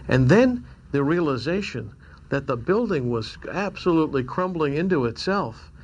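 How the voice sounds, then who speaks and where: A man's voice: on the high side, sing-song, moderate pace, lo-fi sound, a little hiss or hum. A man recalling what he saw, hesitant and repeating himself. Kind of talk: recalling in an interview.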